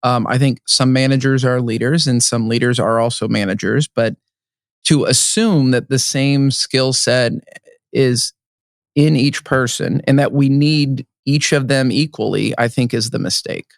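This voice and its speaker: A man's voice: mid-range voice, measured pace, vocal fry, no room sound, studio quality. A man co-hosting a podcast at a conference, talking casually with frequent restarts. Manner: chatty, with restarts and hedges. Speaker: podcast co-host. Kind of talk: casual interview chat. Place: conference venue.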